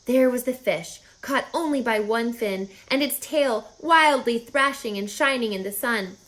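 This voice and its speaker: A woman voicing a kindly, bossy, quick-witted female spider in a children's storybook, articulate and telling tales with relish. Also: fairly high pitch, fairly bright tone, roomy sound, low-quality recording. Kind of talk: children's storybook read-aloud.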